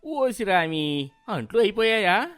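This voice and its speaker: A woman's voice: mid-range voice, big pitch swings, a little slow, no room sound, soft background music. A woman voicing a weary, placating village husband who coaxes with mild exasperation in a storybook tale. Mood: coaxing. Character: weary, placating rich husband, middle-class village master.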